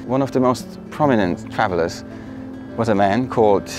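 A man in his forties from a German-speaking part of northern Italy, telling his story to the camera in a relaxed way. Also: on the low side, normal speed. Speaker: vlog co-host. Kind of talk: casual talk to camera. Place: indoor room, later outdoors by a harbour. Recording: roomy sound with background music.

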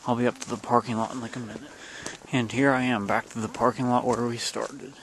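A man's voice: medium pitch, fairly even intonation, fairly quick, slight background noise, low-quality recording. A man giving casual vlog commentary while filming on a handheld camera outdoors on a wooded trail. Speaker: vlogger. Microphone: handheld camera, held by the speaker.

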